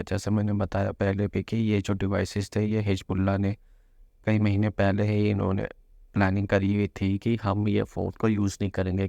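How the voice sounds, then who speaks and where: Low, creaky-voiced man explaining news. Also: darkish tone, no room sound. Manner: mixes Hindi with English terms. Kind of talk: informal news explainer commentary.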